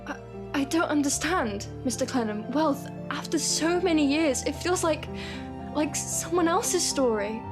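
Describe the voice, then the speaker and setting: A gentle, selfless young Victorian woman. Her high voice moves slowly and timidly through a reverberant space with music behind, in earnest audio drama style. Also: expressive. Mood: overwhelmed, wistful.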